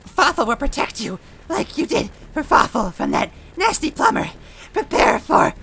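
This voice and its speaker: A frantic storybook henchman with a high, gravelly squeal. He wheezes through mangled grammar, calling himself by name, and his pitch swoops wildly. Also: wildly swooping pitch, moderate pace, bright, forceful tone, exaggerated voice. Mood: devoted, determined. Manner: broken grammar, speaks of himself in third person. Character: frantic, wheezing villainous minion with fractured grammar.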